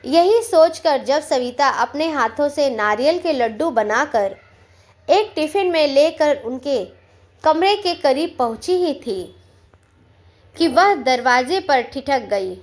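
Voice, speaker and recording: A woman storyteller reading a sentimental family story as scripted narration, with measured, deliberate phrasing. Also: high voice, expressive.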